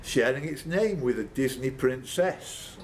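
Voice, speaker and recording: Male quiz master, bantering, swinging intonation, slightly high register, live pub setting with light background noise. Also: lively intonation, a little slow. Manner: bantering, jokey asides.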